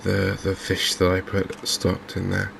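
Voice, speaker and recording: Low, monotone, soft-spoken man. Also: measured pace, vocal fry, background noise. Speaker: gaming video host. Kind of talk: softly spoken ASMR game commentary.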